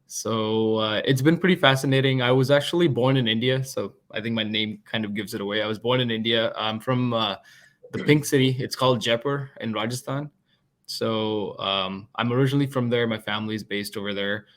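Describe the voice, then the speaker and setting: Relaxed young man telling his story. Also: mid-range voice, a little fast. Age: 20s. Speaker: guest, product manager. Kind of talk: casual interview talk.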